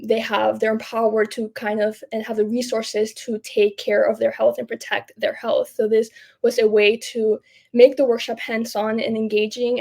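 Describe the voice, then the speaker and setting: A woman's voice: fairly high pitch, gravelly, a little mellow. A woman in her twenties, a student researcher, explaining things conversationally as an interview guest. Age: 20s. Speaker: podcast guest, public health student researcher.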